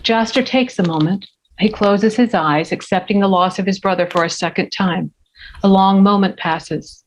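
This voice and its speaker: A woman's voice: mid-range voice, normal speed. A woman reading scripted screenplay stage directions as narrator at a table read. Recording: dull, lo-fi remote audio.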